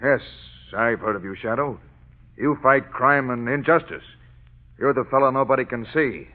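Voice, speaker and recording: An actor playing an earnest, authoritative prison warden in vintage radio drama, with a formal, measured delivery. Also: male voice, medium pitch, lightly acted. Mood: earnest, firm. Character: earnest authoritative male prison warden, businesslike, somewhat jumpy. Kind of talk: 1930s-40s radio drama acting. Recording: tinny narrow-band vintage radio recording.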